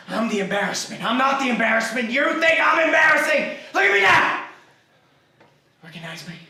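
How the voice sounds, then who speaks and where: A traumatized young veteran, bitter and unhinged, raving in bursts that drop to haunted murmurs. His voice sits high and cutting. It is recorded in a very echoey room, a little away from the mic, with faint noise behind. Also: recorded from a distance, full character voice. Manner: ranting, swinging between broken murmurs and yelling. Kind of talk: dramatic film acting.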